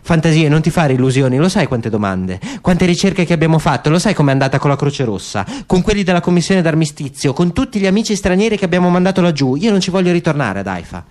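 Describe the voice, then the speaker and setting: A man's voice: on the high side, very animated, fast, hardly any pauses, darkish tone. A man playing a grieving, bitter husband and father who feels humiliated, in a radio play. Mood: bitter, pleading.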